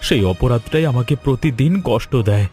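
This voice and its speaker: A man's voice: mid-range voice, a little fast, darkish tone, faint music behind, close to an everyday voice. A man voicing a remorseful, pleading young husband in a family cartoon drama, speaking earnestly.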